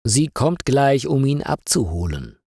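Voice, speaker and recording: A practical male bakery boss from an audio story, read by a male narrator. He speaks in a plain, businesslike manner. The tone is a little husky and the recording very dry. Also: medium pitch, sing-song, measured pace, warm tone, no echo, full character voice. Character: bakery boss, practical man giving brief requests.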